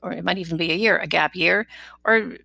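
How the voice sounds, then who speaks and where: A woman's voice: on the low side, fairly quick, gravelly, bright, forceful tone, no room sound. A woman hosting a podcast, asking prepared questions in a conversational interview style. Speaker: podcast host.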